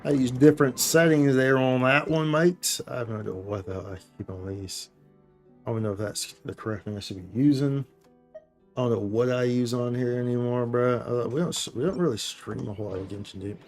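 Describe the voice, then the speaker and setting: A man's voice: mid-range voice, fairly even intonation, brisk, darkish tone, soft background music. A man chatting casually on a live gaming stream, slangy and playful, rambling and often repeating his phrases. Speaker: game streamer, host.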